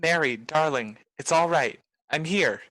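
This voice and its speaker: Radio play juvenile lead: female actor as earnest, loving young bridegroom with a deep voice. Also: a little slow, a bit bright, no room sound, low-quality recording. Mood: soothing. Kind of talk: 1940s radio play acting.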